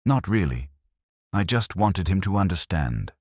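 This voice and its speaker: A man's voice: low-pitched, big pitch swings, measured pace, a little mellow, no echo, studio quality, pitched above the performer's own voice, exaggerated voice. A man voicing a weary, nervous, guilty caretaker in an audio drama, hesitant and confessional. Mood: miserable. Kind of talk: audio drama character reading.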